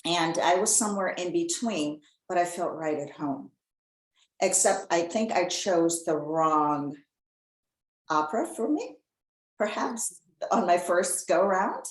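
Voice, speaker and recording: Podcast host, woman, low-pitched, chatty storyteller, echoey room. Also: slow pace. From Chicago area. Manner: lively storyteller, laughs often. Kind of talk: casual interview chat.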